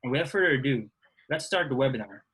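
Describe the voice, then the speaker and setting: A young man from Indonesia, a law student, hosting and introducing a webinar in a halting way with restarts. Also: mid-range voice, animated, fairly bright tone, husky. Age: young adult. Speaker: webinar host, student society president.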